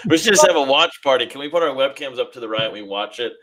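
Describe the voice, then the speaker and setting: A man's voice: mid-range voice, animated, fast talker, fairly bright tone. A man co-hosting a casual sports chat, upbeat and laughing often. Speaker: co-host.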